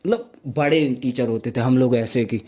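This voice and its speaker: A young man performing a stand-up comedy set, telling stories with slow mock-grand stretches and playful sound effects. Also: medium pitch, a little mellow.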